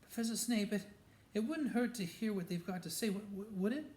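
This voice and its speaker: Man playing an anxious schoolgirl: high, breathless, stammering, in an echoey room. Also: fairly quick, darkish tone, close to an everyday voice. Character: nervous, clever schoolgirl. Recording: roomy echo.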